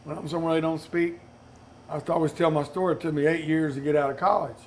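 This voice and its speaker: A man's voice: fairly high pitch, fairly even intonation, quick pace, a little hiss or hum. A man with a Kentucky accent, a distiller on a panel, telling self-mocking, joking stories. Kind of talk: casual storytelling on a panel.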